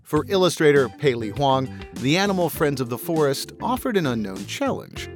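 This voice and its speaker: A man's voice: on the high side, sing-song, normal speed, faint music behind. A man reading scripted narration for a feature report.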